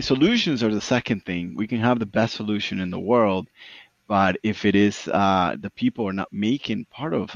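A man's voice: lowish voice, creaky, no room sound, dull audio. A man who founded a consulting firm, talking earnestly in an interview and often adding "right?". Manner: earnest, repeats words, tag "right?". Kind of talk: business interview.

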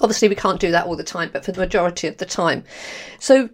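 A woman's voice: on the low side, fast. Candid London woman podcasting. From London, England. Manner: candid, animated, laughs often. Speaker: podcast host. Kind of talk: personal monologue.

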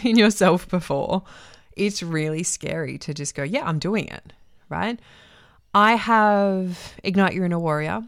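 A woman hosting her own podcast as a transformation coach, talking alone in an effusive way, often repeating herself for emphasis, with a voice gone husky from a week-long cough. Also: on the low side, no room sound, studio quality. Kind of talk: solo podcast monologue.

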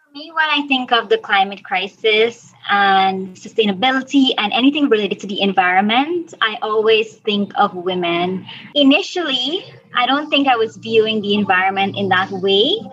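A woman's voice: medium pitch, lively intonation, normal speed, a bit bright, slightly rough, lo-fi sound. A woman from Guyana in her thirties, an environmental officer answering interview questions earnestly, thinking aloud as she goes.

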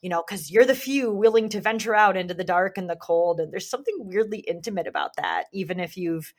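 A woman's voice: medium pitch, brisk. A woman co-hosting a conversation, speaking earnestly and reflectively, with many fillers as she works out her thoughts. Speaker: podcast co-host and community leader. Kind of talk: casual conversational interview.

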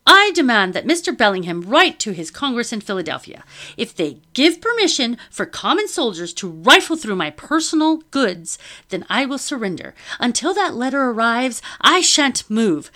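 A woman reading an audiobook and voicing a haughty, imperious lady with a crisp, indignant manner. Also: a little high, sing-song, moderate pace, pushed and bright, voice pushed up.

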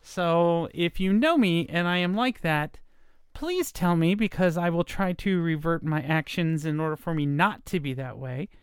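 A woman's voice: fairly low pitch, lively intonation, normal speed, clean tone, no echo, clean studio sound. A woman in her fifties hosting a solo podcast, talking in a rambling, hesitant way with many fillers, her voice a bit nasal from stuffy sinuses. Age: 50s. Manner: rambling, hesitant, self-deprecating with frequent fillers. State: stuffy nose from allergies. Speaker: podcast host. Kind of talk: casual solo podcast monologue.

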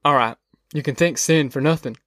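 A man's voice: fairly high pitch, a little fast, fairly bright tone, no echo, natural voice. A man voicing a wry, earthy farm father with a blunt, folksy manner in an audiobook. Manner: folksy, dry-humored, blunt.

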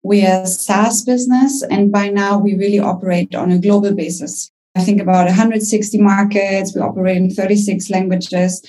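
German lady founder on a podcast, young, mellow warm tone, confident and businesslike. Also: mid-range voice, steady tone, normal speed, hardly any pauses, rough recording. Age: young adult. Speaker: company founder, guest.

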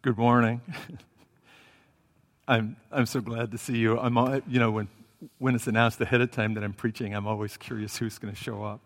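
A man's voice: mid-range voice, little pitch movement, moderate pace. A man preaching a sermon through a headset microphone, talking conversationally with fillers and restarts. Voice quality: self-described loud voice. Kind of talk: sermon with Bible reading and prayer. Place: church sanctuary. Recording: reverberant hall.

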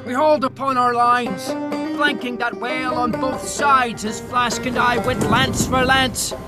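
An actor playing a principled, defiant first mate on a whaling ship, earnest and formal, in a theatrical audio drama. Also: male voice, very high voice, fairly even intonation, unhurried, over loud music. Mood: urgent, recounting.

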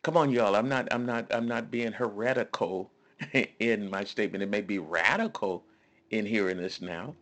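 A man's voice: on the low side, moderate pace, a bit bright. A man preaching a sermon in a conversational, emphatic way, repeating phrases and calling on listeners to respond.

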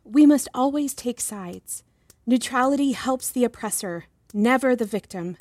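A woman's voice: fairly high pitch, a little slow, darkish tone, dry recording. An actress playing a modest, diligent young female research assistant, earnest and politely deferential, in a fiction podcast.

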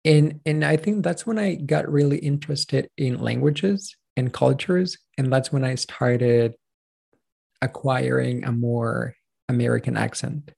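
A man's voice: medium pitch, fairly even intonation, unhurried, gravelly, a little mellow, husky. A Chilean man in his thirties, a native Spanish speaker, telling his story as a pronunciation coach in a casual interview, earnest and rambling with restarts.

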